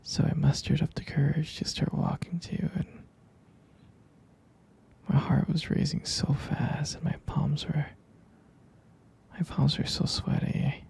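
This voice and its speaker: Gentle half-vampire boyfriend, performed by a man, ASMR roleplay. Heavy vocal fry, drowsy halting whispers, unhurried and soothing. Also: fairly high pitch, little pitch movement, heavily acted. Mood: soft, affectionate. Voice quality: breathy, husky whisper, gravelly fry.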